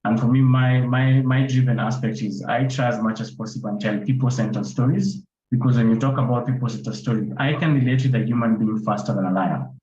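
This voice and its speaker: A man's voice: medium pitch, steady tone, fairly quick, a little mellow, slight background noise. Kenyan man in a roomy, muffled recording. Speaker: conservation photographer and filmmaker, panelist. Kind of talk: explanatory panel talk. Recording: dull, roomy online-call audio.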